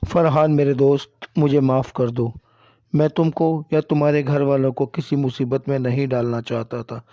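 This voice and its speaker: A man's voice: medium pitch, fairly even intonation, normal speed, dark tone, dry recording, natural voice. A man voicing a troubled, frightened husband in a horror cartoon. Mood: remorseful, resolved. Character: troubled, frightened husband, self-sacrificing friend.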